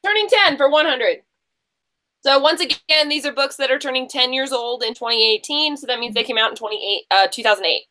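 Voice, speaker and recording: A woman hosts a casual quiz online. Her voice is very high and forward, with a bright edge. She talks in a chatty, encouraging style. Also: fairly quick. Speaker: quiz show host. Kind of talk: hosting an online book quiz, casual chat.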